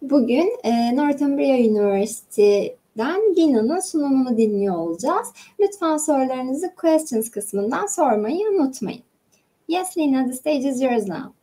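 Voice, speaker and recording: A woman hosts an online webinar, greeting the audience and introducing the guest. Her high voice moves a lot in pitch but has a mellow, soft tone. She sounds brisk and welcoming. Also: sing-song. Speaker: webinar host. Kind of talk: introducing a speaker.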